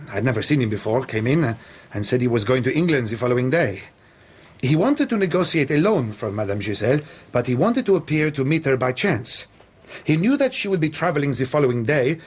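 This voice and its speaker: An actor playing a nervous, evasive French airline booking clerk in a radio drama, hesitant and deferential. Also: male voice, mid-range voice, expressive, a little fast, breathy edge. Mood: nervous, defensive.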